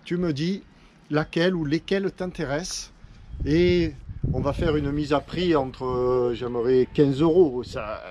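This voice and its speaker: Man, relaxed warm timbre, chatty rambling vlogger, faint noise behind. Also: a little high, measured pace, muffled recording. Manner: chatty, rambling, self-correcting. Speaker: vlogger and watercolour painter. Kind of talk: casual vlog talk to camera. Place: on a boat.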